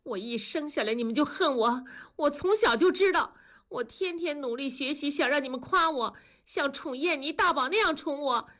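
A woman acting a bitter, defiant young daughter, high-pitched. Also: normal speed, a bit bright. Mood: sobbing, accusing. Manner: sarcastic, defiant, accusing. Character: sullen, bitter 21-year-old daughter lashing out at parents.

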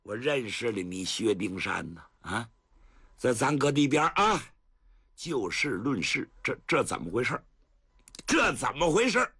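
A male storyteller voicing a hot-tempered old general who sputters and shouts in a high, strained voice. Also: sing-song, normal speed, husky, no room sound, clean studio sound, heavily acted. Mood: indignant.

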